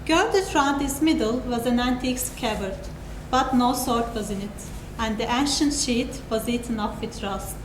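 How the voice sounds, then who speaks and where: High-pitched woman narrating in an echoey room. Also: moderate pace. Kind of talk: dramatic scripted narration of a classic story. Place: indoor live reading event. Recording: echoey room, noisy live recording.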